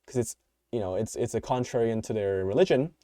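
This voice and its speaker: A man's voice: on the low side, brisk, mellow, no echo. Rambling opinionated young man, dry close mic. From United States. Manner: rambling, opinionated, many fillers and restarts. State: voice tiring. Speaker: podcast host. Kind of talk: unscripted solo commentary.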